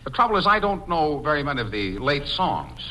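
An actor playing an eager, hesitant husband who fancies himself a crooner in an old-time radio comedy. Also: male voice, a little high, big pitch swings, brisk, rough recording. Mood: eager, sheepish. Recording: old radio recording with loud music.

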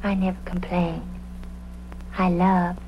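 A woman's voice: fairly low pitch, level tone, takes it slowly. An actress playing a serene, eccentric, airy woman witness in an old-time radio drama. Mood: dreamy, forgiving. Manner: dreamy, airy, calls everyone "love". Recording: muffled, hissy old radio recording.

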